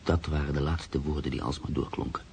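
A man's voice: very deep voice, level tone, fairly quick, gravelly, slight background noise. An actor playing a proud, troubled middle-aged writer in a radio drama, formal and hesitant, brooding as he narrates. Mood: brooding, reflective. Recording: radio play with music underneath.

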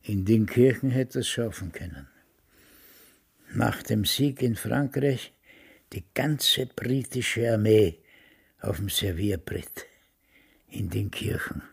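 Stubborn old man in a radio play, croaky husky voice, rambles and repeats himself, full of himself. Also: on the low side, slow pace, pauses often, exaggerated voice. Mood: muttering, fixated. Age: older. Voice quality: husky, gravelly. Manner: pompous, rambling, repeats phrases. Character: stubborn, nostalgic very old Austrian man, self-important would-be novelist. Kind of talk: radio drama dialogue.

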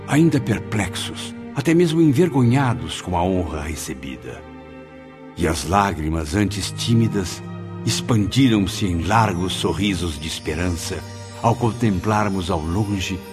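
An actor playing an earnest penitent spirit telling his story in a radio drama, reverent and emotional. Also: low voice. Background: background music.

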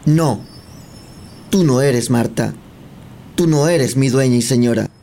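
A man playing a weary, compliant, quietly resentful husband in a Spanish audio drama. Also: mid-range voice, slow, slight background noise. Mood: cold, eerie calm. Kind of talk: Spanish audio fiction acting.

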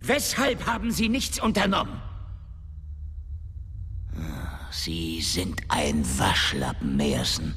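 An actor playing a gruff, foul-mouthed, exasperated boss in a German radio drama, sarcastic and blustering. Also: male voice, low-pitched, sing-song, measured pace, fairly bright tone, a little hiss or hum, heavily acted. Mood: irritated, scornful. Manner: sarcastic, cursing, blustering outbursts. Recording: studio production with music bed.

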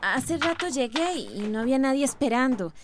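A woman's voice: high-pitched, big pitch swings, normal speed. An actress with a Costa Rican accent playing a polite, composed young schoolteacher in her twenties. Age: 20s. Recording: hissy old radio drama tape.

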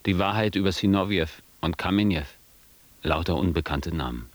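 Actor as reminiscing narrator, deep voice, dry irony, close unechoed recording. Literary radio play. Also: male voice, moderate pace, dry recording, lightly acted. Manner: dry, ironic. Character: reminiscing male narrator, drily ironic.

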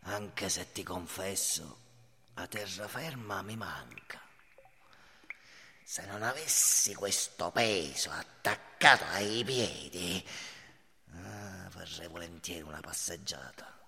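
A man's voice: low-pitched, slow, gravelly, sharp tone, husky, exaggerated voice. A man playing a sardonic, sly mentor cricket with a mobster air in a theatrical radio play. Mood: weary.

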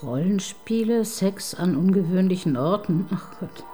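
An actress in a radio crime drama playing a middle-aged, married woman detective who is ironic and needling, with soft music under her lines. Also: lowish voice, animated. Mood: dry, skeptical. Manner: ironic, needling, quick to tease. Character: wry, probing married woman police detective. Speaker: detective chief inspector.

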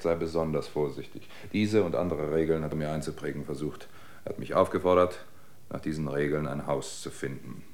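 An observant travelling reporter played by an actor in a radio drama. His voice sits low. He speaks in a measured, reflective way. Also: natural voice. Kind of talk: radio drama narration and dialogue.

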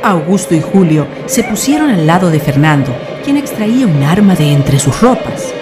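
A woman's voice: on the low side, big pitch swings, moderate pace, over music. A woman narrating a scripted radio drama as storyteller.

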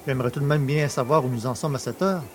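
An actor playing a cheeky, boastful working-class poacher in an audio drama, talking in a familiar, colloquial way. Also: male voice, mid-range voice, quick pace, faint music behind, lightly acted. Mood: merry.

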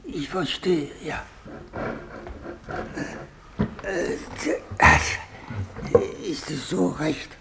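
An actor playing a fearful, resigned old English lord with a thin, quavering voice in a 1950s German radio play. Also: male voice, fairly high pitch, extremely slow, vocal fry, full character voice. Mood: meek resignation. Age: older. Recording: old radio recording, faint hiss.

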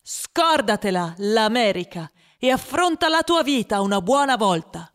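A woman's voice: a little high, big pitch swings, unhurried, in a large hall. An actress voicing a cruel female primary school teacher, sneering and scolding in a radio drama. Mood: scornful. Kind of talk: radio drama, quoted within a monologue.

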